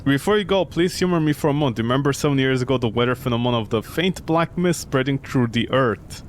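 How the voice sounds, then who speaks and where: A man reads the part of an old woman tenant in a horror game, anxious and stubborn. The phrasing is formal and a little stilted, over a faint rumble. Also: quick pace, close to an everyday voice. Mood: polite, musing.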